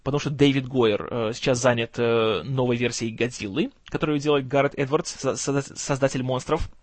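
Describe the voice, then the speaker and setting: A man hosting a film news show in casual commentary, his voice strained and kept low in volume, with a gloomy, wry, sarcastic manner and some stumbling over words. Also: fairly even intonation, brisk, dry recording. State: strained, tired voice, can't speak loud.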